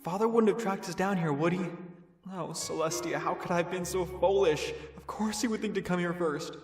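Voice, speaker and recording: A man's voice: high voice, a little fast. A man voicing a worried, earnest young male pony, a protective big brother in a dramatic storybook reading. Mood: worried, hushed. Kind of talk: dramatic storybook character acting. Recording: music behind the voice.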